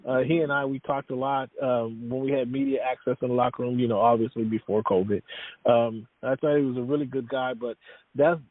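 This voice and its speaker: A man's voice: mid-range voice, fairly even intonation, fairly quick. Rambling man on a tinny phone line. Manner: rambling, many fillers and restarts. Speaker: guest, sports writer. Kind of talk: casual interview talk.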